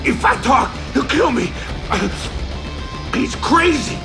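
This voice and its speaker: An actor playing a frightened hired thug in a video game, agitated and pleading under interrogation. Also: male voice, very high voice, animated, slow, vocal fry, full character voice. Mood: terrified, pleading. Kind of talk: cinematic video game acting. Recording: music behind the voice.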